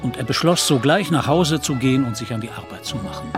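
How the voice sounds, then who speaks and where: An actor narrating a literary society story in a German radio play in a composed, measured way. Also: male voice, mid-range voice, expressive, music in the background, natural voice.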